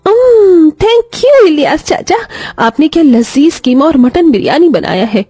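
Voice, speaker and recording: A gentle young bride from a moral story, put on by a woman, with a high and mellow voice. She speaks in a sweet, pleading, pampered way. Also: expressive, a little slow, faint music behind. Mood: delighted. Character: gentle young woman, pampered daughter turned poor daughter-in-law.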